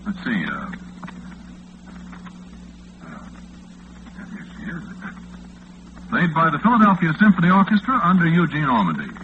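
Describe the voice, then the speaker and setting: Lonesome, affable American graveyard-shift disc jockey, 1940s radio drama, chatty and hesitant, animated pitch, narrow phone-like sound. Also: male voice, moderate pace, pauses often. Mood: friendly, obliging. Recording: muffled, noisy 1940s radio recording, phone-like band.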